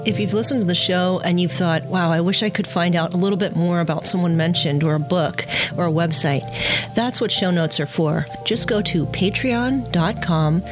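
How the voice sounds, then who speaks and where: A woman's voice: lowish voice, moderate pace, over music. A woman hosting a podcast in a conversational style. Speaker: podcast host.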